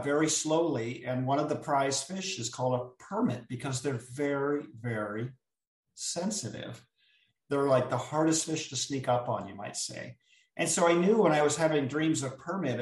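A man who is an author, telling stories as a guest in an unhurried, thoughtful way with frequent filler pauses. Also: mid-range voice. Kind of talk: reflective storytelling chat. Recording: roomy sound, distant from the mic.

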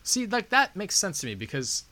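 A man hosting a podcast in casual chat, excitable, swearing and reading out lists. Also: high-pitched, normal speed. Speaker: podcast host.

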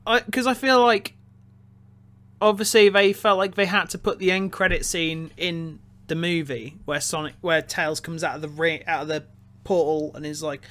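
A man with a high, sharp and bright voice chats casually as a podcast co-host reviewing a film. He feels his way through his opinions, stammering and doubling back on words. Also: steady tone, normal speed. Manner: hesitant, stammering, repeats words. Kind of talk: casual chat about film.

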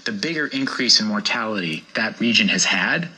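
A man's voice: lowish voice, animated, normal speed, bright, forceful tone, rough recording. A man explaining statistics as an analyst, with some background noise behind him.

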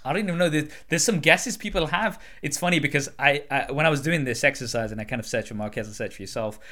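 A man's voice: a little high, quick pace, pushed and bright. A man, likely in his twenties, hosting a casual conversational interview. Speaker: interview host, content creator. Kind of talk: casual chat.

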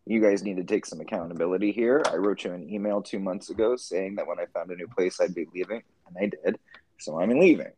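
A man co-hosting a casual podcast chat, tired and a little scratchy from a coming cold, chatty and quick to laugh. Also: low voice, a little mellow, breathy edge. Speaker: podcast co-host.

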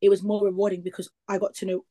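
A woman's voice: medium pitch, little pitch movement, rapid-fire, warm tone. Chatty young woman. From East Midlands, England. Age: 20s. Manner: chatty, rambling with many likes and ums. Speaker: guest. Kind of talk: casual interview chat.

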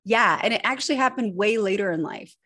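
A woman's voice: medium pitch, a little fast, a bit bright. A middle-aged woman who grew up in Northern California, speaking as an interview guest and telling her life story in an earnest, confessional way. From Switzerland, grew up in Northern California. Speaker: guest, personal branding expert.

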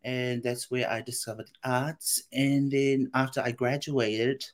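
A woman's voice: very deep voice, steady tone, measured pace. A woman from Samoa, in her late twenties, chats as a guest on a podcast. She is bubbly and playful, laughs easily, and talks about her own life in an easygoing way. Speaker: actress and guest. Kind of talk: interview chat.

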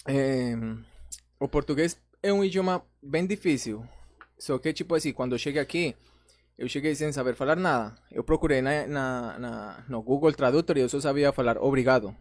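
A man's voice: mid-range voice, moderate pace, no echo. Colombian man speaking Portuguese, dry close sound. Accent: Spanish-speaking Colombian. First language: Spanish. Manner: relaxed, leans on fillers and repeated starts. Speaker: podcast guest, influencer. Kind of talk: casual conversational storytelling.

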